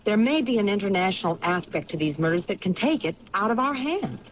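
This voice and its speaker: A persistent female police lieutenant played by a radio actress, probing and deliberate, with a mid-pitched, animated voice. The sound is dull vintage radio with faint noise. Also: lightly acted. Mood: pensive, reasoning. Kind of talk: old radio drama acting. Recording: muffled, noisy 1970s radio recording.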